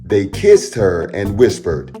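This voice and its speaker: A man, a children's storytime host, speaking playfully and directly to young listeners in an animated read-aloud style. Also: lowish voice, takes it slowly, reverberant, soft background music.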